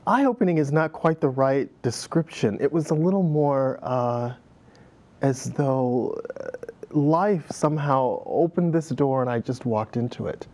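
Middle-aged man telling stories, relaxed. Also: mid-range voice, unhurried. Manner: reflective storyteller, many fillers, laughs easily. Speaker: guest, dance director. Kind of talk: conversational interview. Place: TV studio set.

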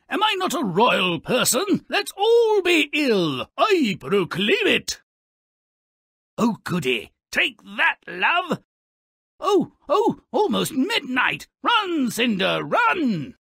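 An actor voicing a gleefully malicious little dwarf storyteller in a video game, raspy and gravelly, with a theatrical, sardonic manner. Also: male voice, medium pitch, sharp tone, no room sound, clean studio sound, heavily acted. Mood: gleeful, mocking. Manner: theatrical, sardonic, relishing nasty words. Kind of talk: video game character narration.

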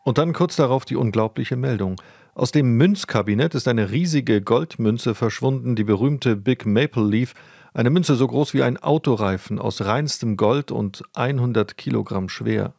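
Creaky-voiced male crime report narrator. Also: medium pitch, normal speed, a little mellow, no room sound, studio quality. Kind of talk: dramatic scripted news-report narration. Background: background music.

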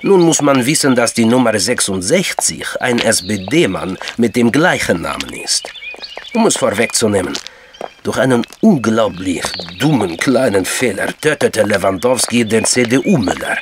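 Calm, knowing intelligence man from Georgia, lowish voice, formal explainer, radio drama, noticeable background noise. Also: unhurried. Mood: calm, explaining. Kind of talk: German crime radio drama dialogue.

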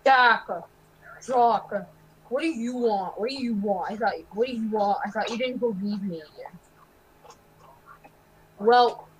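A woman acting student characters in an amateur game roleplay, halting and repeating phrases. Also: a little high, a crowd in the background, low-quality recording.

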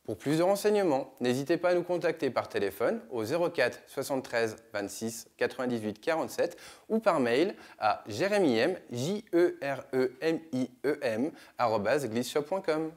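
A man's voice: mid-range voice, lively intonation, normal speed. A man in his thirties, a ski shop advisor, giving a scripted, enthusiastic product presentation in a small indoor studio. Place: indoor studio with skis on a wall.

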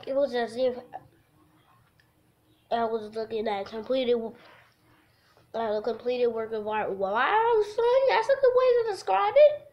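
A woman livestreaming a visual novel, reading the text aloud haltingly and adding goofy, casual asides. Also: a little high, expressive, highs cut off.

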